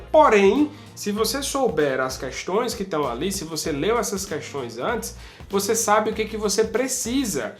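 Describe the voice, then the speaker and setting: A man's voice: on the high side, a bit bright. A man with a Brazilian accent teaching an exam prep lesson in an informal, emphatic, conversational way, speaking straight to his students. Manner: conversational, emphatic, addresses students directly, acts out their thoughts. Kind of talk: informal explanatory video lesson.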